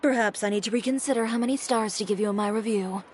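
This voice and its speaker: A woman's voice: medium pitch, fast talker, a little hiss or hum, close to an everyday voice. A woman playing a cool, composed fashion designer. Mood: probing. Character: poised young female fashion designer, composed and sharp. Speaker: boutique owner character. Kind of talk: video game voice acting. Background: game music.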